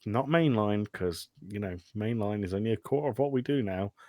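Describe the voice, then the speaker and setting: Male podcast host, lowish pitch, close dry sound, sweary deadpan humour in loose film chat. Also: brisk, no room sound. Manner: sweary, dryly joking. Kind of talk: casual film review chat.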